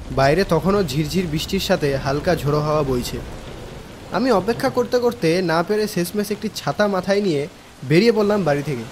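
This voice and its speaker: Man acting as young tea-loving narrator, West Bengal Bengali accent, high pitch, scripted cartoon storytelling, rainy background. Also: a little mellow, slight background noise. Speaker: narrator of an animated ghost story. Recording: rain and thunder sounds behind.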